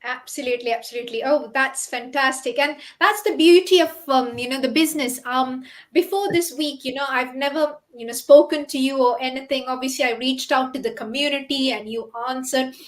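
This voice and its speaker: A woman's voice: high-pitched, a little fast, hardly any pauses. A woman in her forties from the UK hosting a live stream interview, chatty, with frequent "you know" and "isn't it". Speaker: host, doctor and business owner.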